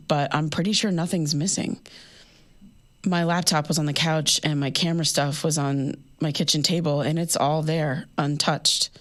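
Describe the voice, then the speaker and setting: A young woman playing a guarded, shaken podcaster in an audio drama, talking in a halting, conversational confessional monologue. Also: low voice, natural voice.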